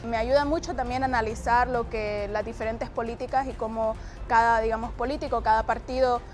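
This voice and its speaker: A woman with a slightly high voice talks reflectively in a discussion, over faint music. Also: steady tone, brisk. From Honduras. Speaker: young voter.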